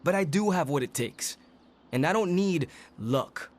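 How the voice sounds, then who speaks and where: An actor playing a stubborn, anxious young hero in a video game, with a defensive, sardonic way of talking. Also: male voice, fairly high pitch, lively intonation, a little slow. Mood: defiant.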